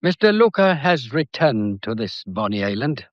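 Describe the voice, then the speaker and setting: A slightly muffled, dry recording of a radio mystery. A man plays an avuncular older police inspector, folksy and fussy, whose lively melody keeps probing under the geniality. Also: medium pitch, moderate pace, no room sound, natural voice. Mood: shrewd, satisfied. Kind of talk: radio drama acting.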